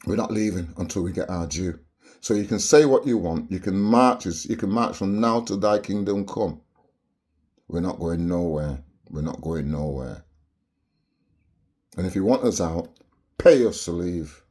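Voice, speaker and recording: A man's voice: sits low, expressive, measured pace, darkish tone, breathy edge. A Barbadian man delivering an impassioned, preachy monologue to camera, peppering his points with rhetorical "yeah?" tags. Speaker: vlogger.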